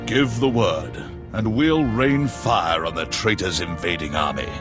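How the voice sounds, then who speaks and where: A man's voice: sits low, lively intonation, a little slow, music in the background. A man playing a proud, loyal Imperial general in a game, with grandiose, zealous speech. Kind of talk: video game cutscene acting.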